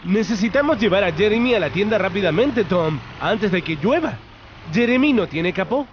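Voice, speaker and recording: A man's voice: high voice, expressive, moderate pace, dull audio, slight background noise. A man narrating a preschool cartoon, talking to the characters with encouraging exclamations and questions.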